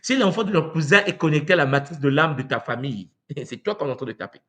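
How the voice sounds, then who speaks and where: A man from Congo giving a live talk to viewers, animated and rhetorical, often asking "n'est-ce pas?" and acting out quoted voices. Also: a little high, fast. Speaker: speaker on spiritual tradition. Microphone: livestream mic.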